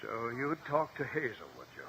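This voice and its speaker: An actor playing a blustering, corrupt local politician who sounds cornered and exasperated, in a vintage radio crime drama. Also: male voice, mid-range voice, sing-song, normal speed, vocal fry, fairly bright tone. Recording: noisy old radio drama recording.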